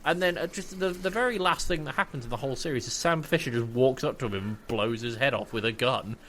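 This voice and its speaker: A man chatting casually as a podcast host, retelling and reviewing in an animated way. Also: medium pitch, quick pace, slight background noise.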